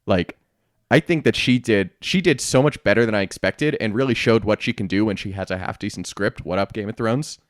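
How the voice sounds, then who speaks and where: A man with a fairly low voice chats as a podcast co-host, recorded close and dry. He gives his opinions in an informal, emphatic, conversational way. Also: a little fast, no room sound. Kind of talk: casual opinionated fan discussion.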